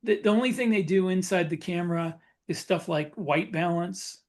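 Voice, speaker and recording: A man chatting casually as a podcast co-host, explaining things in a halting way. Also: sits high, darkish tone.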